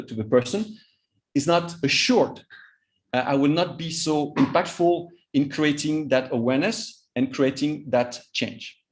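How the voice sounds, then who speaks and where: A man in his fifties with a French accent, whose first language is Vietnamese, giving a master class as a cross-cultural coach, halting and often repeating his words. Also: medium pitch. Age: 50s. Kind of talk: live online master class talk.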